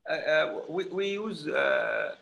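A middle-aged man speaking as a surgeon on a video call, halting and repeating himself but emphatic. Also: on the high side, lively intonation, gravelly, bright, forceful tone. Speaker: surgeon panelist. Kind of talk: panel discussion over video call. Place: home room with curtains. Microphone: video call, computer mic.

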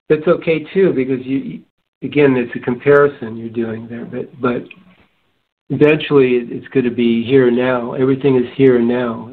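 Male panelist, mid-pitched, searching and halting in informal spiritual talk; muffled, narrow phone-like sound. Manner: halting, searching, repeats and rephrases himself. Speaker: discussion panelist. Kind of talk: casual spiritual discussion.

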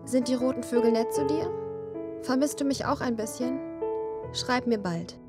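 A woman's voice: on the high side, moderate pace, over loud music, natural voice. A woman voicing a sad, tender young female blue bird in an audio play, with a wistful, pleading manner. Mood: sad, longing.

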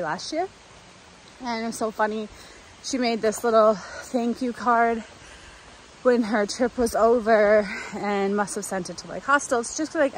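A young adult woman with a medium-pitched voice talks casually and rambles a little, as in a personal video diary. It is recorded on a handheld phone outdoors, with faint ambient noise behind her. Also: rough recording. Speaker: vlogger hiking a long trail. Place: outdoors in woodland. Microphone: phone at arm's length.

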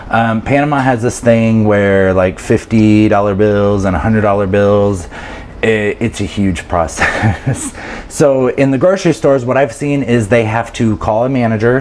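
A man's voice: lowish voice, a little mellow, slight background noise. A man in his thirties from the United States, talking casually and animatedly to camera as a travel vlogger, laughing often, filmed close up in a small apartment room. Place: grocery store, then small apartment room indoors. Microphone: camera mic, handheld then fixed camera close up.

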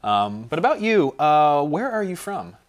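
A man's voice: fairly high pitch, big pitch swings, unhurried. A man in his thirties hosting a talk show interview, asking questions in a studio.

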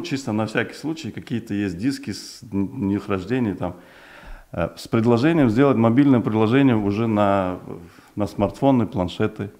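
A man in his forties, first language Uzbek, talks as a guest in a small television studio. His voice sits low and sounds dark and mellow. He speaks thoughtfully, pausing with fillers and restarting his phrases. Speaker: project developer guest. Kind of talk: studio interview. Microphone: desk mic on stand.

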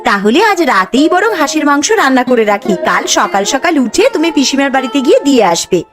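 A woman voicing a brisk, practical and caring young housewife in a cartoon, with expressive delivery. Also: high voice, over music. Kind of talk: Bengali cartoon voice acting.

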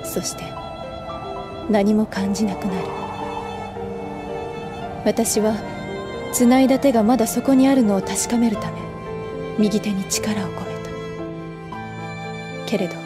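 A woman's voice: on the high side, close to an everyday voice. A woman voicing a melancholy, introspective young woman, music behind. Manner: solemn, deliberate. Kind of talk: literary monologue in audio drama.

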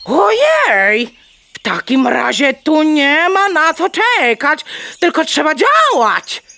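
A woman's voice: high voice, very animated, slow pace, creaky, pushed and bright, dry recording, studio quality, exaggerated voice. A woman playing a bold, clever, bossy mother hen in a children's audio play, clucking between theatrical phrases. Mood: urgent.

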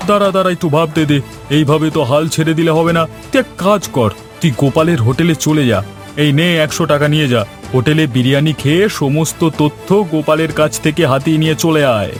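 A man voicing a scheming, scolding, commanding rival hotel owner in an animated story. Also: on the high side, fast, lightly acted. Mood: scheming, bossy. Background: faint background music.

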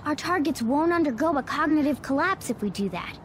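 A woman's voice: high voice, animated, a little fast, slight background noise. A woman voicing a small, clever talking cat creature in a video game, boyish, confident and instructive. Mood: thoughtful, confident.

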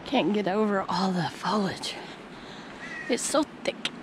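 A woman's voice: medium pitch, expressive, takes it slowly. A middle-aged woman vlogging casually outdoors on a trail with a handheld camera. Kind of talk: casual outdoor travel vlog chat. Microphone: handheld camera at arm's length. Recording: windy outdoor noise.

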